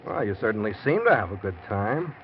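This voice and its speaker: An actor playing a forty-year-old gambler and pulp writer in a 1940s radio drama, wry and hard-boiled. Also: male voice, on the low side, sing-song, fairly quick. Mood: incredulous arguing. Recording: noisy muffled old radio recording.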